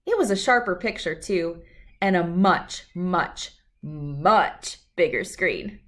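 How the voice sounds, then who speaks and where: A woman reading a children's storybook aloud in an expressive narrating style. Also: lowish voice, slow. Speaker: storybook reader.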